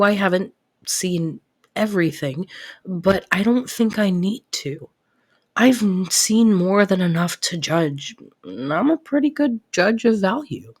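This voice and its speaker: A young woman playing a caring, protective best friend secretly in love, in soft, intimate roleplay audio, with a husky, breathy voice and a teasing, reassuring way of talking. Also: medium pitch. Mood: tender reassurance. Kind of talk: soft-spoken roleplay audio acting addressed to listener.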